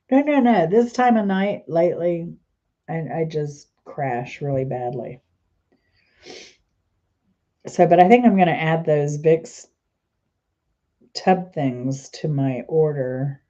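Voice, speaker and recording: A woman's voice: low voice, warm tone, lo-fi sound. A woman host chatting casually and rambling, trailing off mid-sentence, sounding stuffy and congested. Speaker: channel host. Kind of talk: casual rambling chat to camera.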